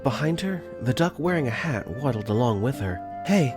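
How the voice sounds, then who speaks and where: A man's voice: mid-range voice, animated, moderate pace, music in the background, close to an everyday voice. A man voicing an easygoing, wry teenage boy who narrates his own story in a conversational tone with joking asides, for a visual novel fan dub. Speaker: voice actor. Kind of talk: first-person visual novel narration and dialogue.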